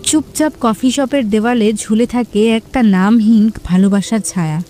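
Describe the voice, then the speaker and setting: A woman narrator reading a scripted romantic story in a poetic, measured way. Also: on the high side, warm tone.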